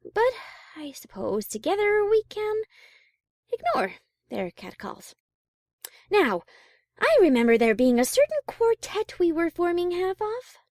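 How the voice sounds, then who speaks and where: A woman playing a prim, high-class pony musician in an audio drama, speaking in a refined, formal, dramatic manner. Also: sing-song, unhurried, darkish tone, dry recording, studio quality, exaggerated voice. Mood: relieved, gracious. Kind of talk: audio drama character acting.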